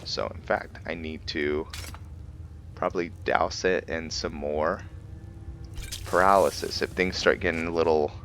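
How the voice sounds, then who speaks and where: A man with a very low but bright, forceful voice hosts a casual gameplay video. He talks slowly and haltingly as he thinks out loud. There is faint noise behind him. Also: fairly even intonation. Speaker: gaming video host. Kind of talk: casual game playthrough commentary.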